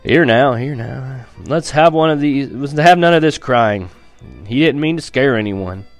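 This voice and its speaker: A man voicing a calm, firm, kindly farmer father in a storybook reading, plain-spoken. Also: mid-range voice, a little slow, soft background music, voice pushed up, lightly acted.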